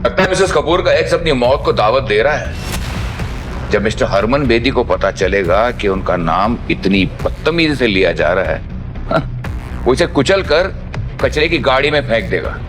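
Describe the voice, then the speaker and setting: Pompous, animated tycoon voiced by a man. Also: mid-range voice, moderate pace, music in the background, natural voice. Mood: sneering, threatening. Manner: pompous, grandiose. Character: pompous, threatening male business tycoon. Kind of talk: Hindi audio series acting.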